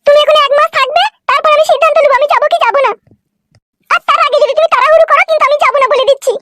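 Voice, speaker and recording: Tiny squeaky cartoon wife. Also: female voice, steady tone, very fast, heavy background noise. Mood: bossy, annoyed. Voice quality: squeaky, childlike. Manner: bossy, curt, insistent. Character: stubborn, scolding young wife, squeaky and defiant. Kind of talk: amateur comedy cartoon dubbing. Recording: loud music under the voice.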